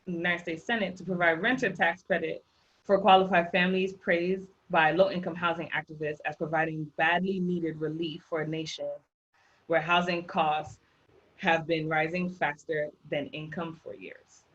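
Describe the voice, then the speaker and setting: A woman's voice: on the low side, flat delivery, normal speed, fairly bright tone, highs cut off. A woman with a Haitian accent presenting a housing rights workshop over slides, emphatic and repeating her key points. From Haitian background.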